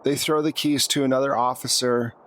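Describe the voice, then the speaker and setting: A man's voice: medium pitch, fairly even intonation, brisk, fairly bright tone. A man narrating a tabletop roleplaying game as game master, telling the story in a conversational way.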